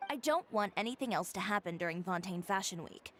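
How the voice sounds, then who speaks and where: A woman's voice: medium pitch, expressive, a little fast, fairly bright tone, slight background noise, natural voice. Woman voicing a confident young fashion designer, game dialogue, cool, dry delivery, blunt, background ambience. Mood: firm, defiant. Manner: cool, dry, self-assured. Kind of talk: video game voice acting.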